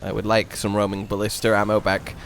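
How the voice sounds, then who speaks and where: A man in casual, playful gameplay banter as a co-host, excitable and given to swearing. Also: fairly low pitch, brisk, slight background noise. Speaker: co-host of a gaming video.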